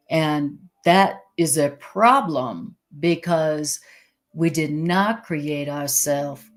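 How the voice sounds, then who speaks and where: A woman leading a live-streamed spiritual class in a chatty way, laughing easily, with a loud voice, into a microphone. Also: low-pitched. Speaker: spiritual teacher. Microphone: desk microphone.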